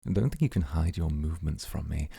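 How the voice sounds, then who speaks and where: A man playing a composed, calculating, coolly amused mafia boss, speaking in a measured, formal way in an ASMR roleplay. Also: rumbling low, creaky, mellow, breathy edge, no echo, clean studio sound, full character voice. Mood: dryly amused.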